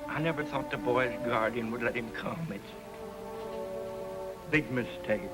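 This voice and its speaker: A man's voice: mid-range voice, measured pace, lightly acted. Man acting elderly miner grandpa, folksy American drawl, regretful, vintage TV Western, background music. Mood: dejected. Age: older.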